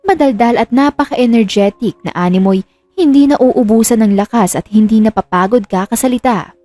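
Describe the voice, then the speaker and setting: A woman's voice: a little high, moderate pace, warm tone, dry recording. A woman reading scripted narration of a romantic drama as the storyteller. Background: music.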